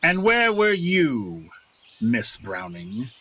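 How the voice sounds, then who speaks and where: A man's voice: mid-range voice, huge pitch swings, very slow, bright, forceful tone, slightly rough, full character voice. A man acting in an audio drama as a sly accuser who mocks and insinuates with a sneer. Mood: sarcastic. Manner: mocking, insinuating.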